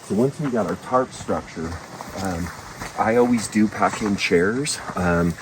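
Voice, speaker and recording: A man's voice: lowish voice, measured pace. A man giving a casual outdoor walkthrough as a guide, plain-spoken and keeping his voice down. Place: outdoors at a backcountry camp. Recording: distant mic, outdoor background noise.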